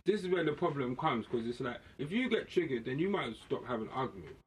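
A man's voice: mid-range voice, animated, fast, husky, low-quality recording. A man hosting a podcast, arguing in a blunt, slangy, casual way. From West Indian heritage. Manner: outspoken, argumentative, slangy. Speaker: podcast host. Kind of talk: casual heated discussion.

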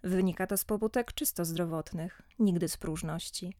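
A woman's voice: fairly low pitch, normal speed, mellow, no echo, clean studio sound, lightly acted. A woman playing a witty, affectionate wife reading her letter aloud in a radio play, in a wry, literary manner. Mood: fond, wry.

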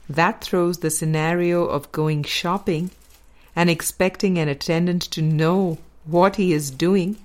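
A woman with a fairly low voice reads a spiritual book aloud. Her pace is very slow, and each phrase is measured and deliberately placed, in the manner of an audiobook narrator. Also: darkish tone. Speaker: audiobook reader.

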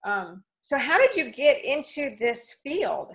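Woman host, casual interview, encouraging, quick affirmations. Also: sits high, sing-song, a bit bright. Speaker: podcast host.

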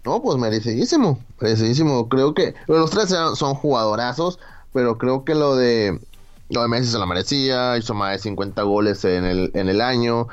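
A man's voice: medium pitch, expressive, normal speed. Hesitant man, dull recording. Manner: hesitant, full of fillers. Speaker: co-host. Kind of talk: casual sports chat.

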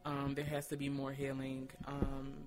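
A man talks in an earnest, reflective discussion. His pitch sits in the middle and stays very even. He picks his words hesitantly, often leaning on small filler phrases. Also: a little slow. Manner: hesitant, thoughtful phrasing with frequent "you know". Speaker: doula and discussion participant.

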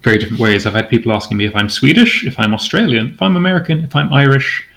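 A man's voice: mid-range voice, lively intonation, quick pace, gravelly, fairly bright tone. A man chatting casually as an interview guest, rambling and self-deprecating.

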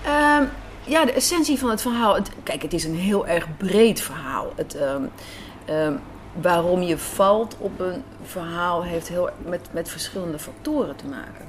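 A woman's voice: on the low side, expressive, a little slow. Dutch woman chatting in a noisy café. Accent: Dutch, from the Netherlands. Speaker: radio drama writer and director, interview guest. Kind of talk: conversational interview, storytelling. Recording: clear café background noise.

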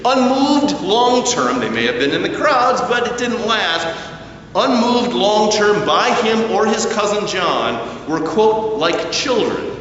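A man preaches a sermon in a somewhat high-pitched voice. He phrases deliberately, leaving emphatic pauses. The recording is distant and strongly echoing, as in a large hall. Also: fairly bright tone. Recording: very echoey hall, distant, slightly dull, faint noise.

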